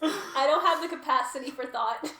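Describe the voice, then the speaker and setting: A woman's voice: high-pitched, normal speed, pushed and bright, echoey room. A young adult woman chatting and joking casually with friends as part of a group reading.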